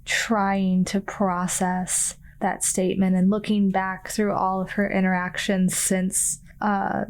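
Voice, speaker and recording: A woman's voice: medium pitch, a little mellow. A woman casually narrating what her character thinks and does as a player in a tabletop game.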